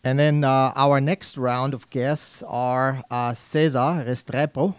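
A man's voice: medium pitch, fairly even intonation, slow, clear, resonant tone, dry recording. A man with a German accent hosting a live business radio talk show, upbeat and chatty, laughing often. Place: radio studio.

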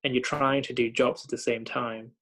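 A man's voice: medium pitch, level tone, normal speed, pushed and bright. A young man from Thailand working as a hospital doctor, talking thoughtfully with many fillers and repeated starts. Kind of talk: reflective conversation.

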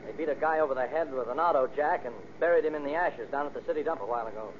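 A narrow, phone-like vintage radio recording with slight noise carries a man playing a punchy police detective. He talks quickly, with slangy, sardonic bite, in crime-serial style. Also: on the high side, lightly acted. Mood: brisk. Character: brisk, eager, wisecracking male police detective. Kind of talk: old-time radio crime drama acting. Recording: noisy, tinny old radio recording.

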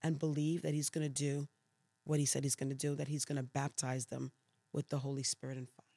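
A Puerto Rican-born woman pastor with a New York accent, telling stories in an animated, emphatic way in a podcast interview. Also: extremely low pitch, a little fast, dry recording. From Puerto Rico.

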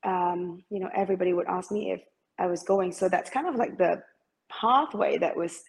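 A young woman from Malaysia speaks in a fairly low voice with a lot of gravelly fry. She answers questions in a halting, chatty way, full of fillers and restarts. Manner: hesitant, many fillers and restarts, laughs often. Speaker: interviewee, former competitive swimmer. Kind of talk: casual interview answers.

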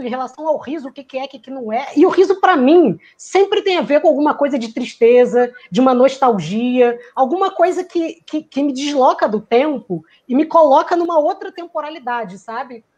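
A woman's voice: high voice, darkish tone. A woman who works in theater giving a reflective talk, musing aloud, searching for words and acting out quoted lines.

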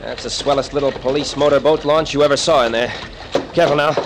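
An actor playing a gruff, decisive, cynical sea captain with an American accent and a curt, bossy, wry manner in an old-time radio drama. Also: male voice, mid-range voice, steady tone, fast, natural voice. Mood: brisk, commanding. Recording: noisy vintage radio recording, engine drone.

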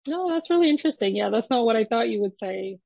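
A woman's voice: on the high side, expressive, quick pace, vocal fry, mellow, no room sound. A young woman hosting an interview, affirming the guest and asking curious questions with casual fillers. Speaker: podcast host. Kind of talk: conversational interview. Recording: dry, slightly dull mic.

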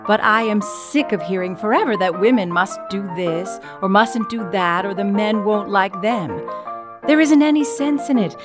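A woman's voice: mid-range voice, lightly acted. Woman playing an emphatic 1920s feminist firebrand. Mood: fed up. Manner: emphatic, argumentative. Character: middle-aged 1920s feminist firebrand, indignant and independent letter-writer. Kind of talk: dramatic reading of a letter.

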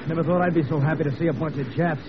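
A man playing a brash, sarcastic soldier with a gruff wisecracking manner in a 1950s radio drama. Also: on the high side, fairly even intonation, racing, lightly acted. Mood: sheepish. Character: brash, sarcastic, bigoted American army soldier. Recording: muffled, noisy old radio recording.

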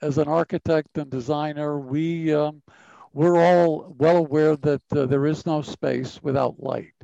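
A man's voice: a little high, fairly even intonation, measured pace, gravelly, dark tone, no room sound, highs cut off. A middle-aged man hosting a design podcast, friendly and loose, with lots of "uh" and restarts. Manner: hesitant, many fillers. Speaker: podcast host. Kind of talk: podcast interview.

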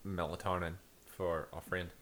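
A man's voice: sits low, flat delivery, moderate pace, a bit bright. A man playing a tabletop game, rambling playfully in casual chat. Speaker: player.

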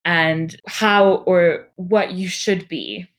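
A young woman giving a reflective personal account in a thoughtful, measured and candid way. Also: lowish voice, steady tone.

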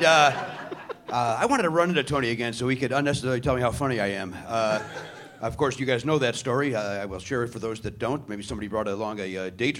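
A man's voice: medium pitch, fairly bright tone, slight background noise. A man hosting a live comedy show in a theatre, riffing on the crowd with sarcastic, profane asides. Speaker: comedy podcast host. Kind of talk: live stand-up banter with the audience. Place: theatre stage before a live audience.

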